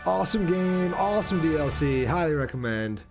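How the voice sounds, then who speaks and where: Game music plays clearly behind a young man with a slightly high voice. He streams in an informal, enthusiastic way, chatting with viewers and reading out their comments. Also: lively intonation, normal speed.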